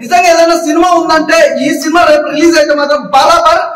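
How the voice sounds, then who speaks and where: An effusive, emphatic man, often shouting as he gives an excited movie review as an audience member. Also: very high-pitched, fast. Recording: echoey, distant mic with crowd noise. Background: crowd.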